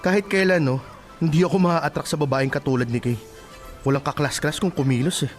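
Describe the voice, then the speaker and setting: Aktor bilang masungit at konserbatibong binata, mataas ang boses. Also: male voice, on the high side, fairly even intonation, brisk, close to an everyday voice. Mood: annoyed, dismissive. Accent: Filipino. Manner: curt, scolding, judgmental. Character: strait-laced, grumpy young male office worker, dutiful grandson. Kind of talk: Filipino radio drama acting. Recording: music bed underneath.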